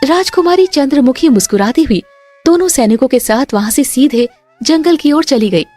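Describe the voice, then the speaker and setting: A woman narrating a scripted cartoon story in an expressive storyteller's manner. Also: sits high, moderate pace, soft background music.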